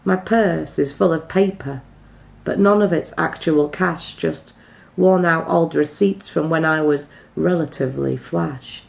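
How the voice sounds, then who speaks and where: A woman's voice: sits low, creaky. British woman performing rhyming slam poetry. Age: middle-aged. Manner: measured rhythmic delivery with deliberate pauses. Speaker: slam poet.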